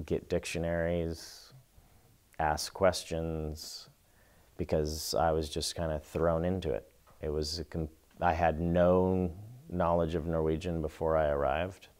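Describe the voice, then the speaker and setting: A middle-aged man seated in a small studio, answering interview questions in a thoughtful, measured way with pauses and restarts. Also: sits low, darkish tone. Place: indoor studio before a plain backdrop.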